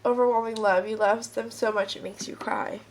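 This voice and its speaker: A woman with a somewhat high voice and a stuffed-up, sniffly nose, reading tarot cards in a loose, intuitive way. Her talk wanders easily from card to card, and a faint hiss sits under the voice. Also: steady tone, moderate pace. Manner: casual, rambling, intuitive.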